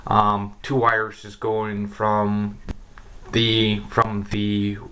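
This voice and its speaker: A man's voice: lowish voice, completely flat delivery, extremely slow, a bit bright. A man giving a casual radio hobbyist demonstration, informally thinking aloud, recorded indoors on a cheap camera mic. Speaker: amateur shortwave radio hobbyist and presenter. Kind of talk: casual hands-on demonstration vlog. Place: indoor room with radio equipment. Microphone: camera's built-in mic. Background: shortwave radio hiss and clicks.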